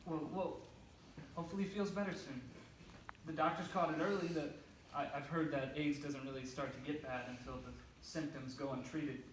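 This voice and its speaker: An actor playing a nervous, lovestruck young office worker in a stage play, with a hesitant, stammering delivery. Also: male voice, on the high side, fairly even intonation, normal speed, close to an everyday voice. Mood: concerned. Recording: roomy, distant mic, faint noise.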